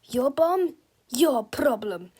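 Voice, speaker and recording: A woman's voice: high-pitched, very animated, darkish tone, husky, heavily acted. Giant robot-clad male bad guy, female reader: menacing, bossy, growly, heavily stretched-out delivery for a children's storybook. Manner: slow, drawn-out, growling, domineering.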